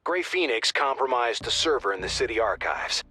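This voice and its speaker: Bright, brisk male mission handler. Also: mid-range voice, very animated, a little hiss or hum. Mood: brisk, businesslike. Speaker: tactical mission controller. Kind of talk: scripted game mission briefing.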